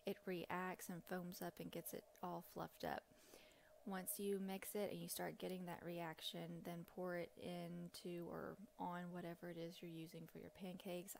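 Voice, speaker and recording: A woman's voice: on the low side, gravelly, a little mellow, a little hiss or hum. A middle-aged woman giving a chatty, rambling, informal voice-over as a home cooking vlogger. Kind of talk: casual instructional voice-over.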